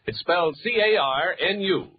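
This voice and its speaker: A man's voice: high voice, animated, moderate pace, a little hiss or hum. A man with an American accent reading scripted 1940s advertising as a radio announcer. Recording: muffled 1940s radio broadcast.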